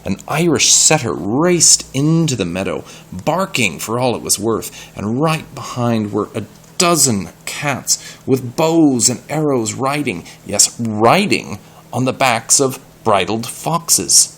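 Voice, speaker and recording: A man reading a story aloud in an animated style, pausing to explain words and ask questions. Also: medium pitch, slight background noise.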